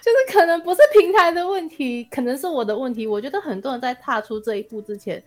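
A woman's voice: high-pitched, animated, fast, darkish tone. A Taiwanese woman in casual podcast chat, speaking candidly and self-deprecatingly as a guest author.

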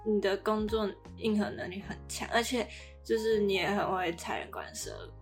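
A woman's voice: mid-range voice, normal speed, vocal fry. A woman in her early twenties with a Taiwanese Mandarin accent co-hosts a casual, explanatory podcast, drawing out the particles at the ends of her phrases. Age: early 20s. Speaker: podcast co-host. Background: background music.

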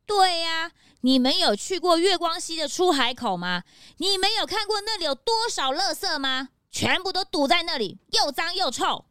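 A woman's voice: very high voice, lively intonation, moderate pace, sharp tone, no room sound, clean studio sound, heavily acted. A woman voicing an eager, cheerful young male sidekick in a children's radio drama. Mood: scolding.

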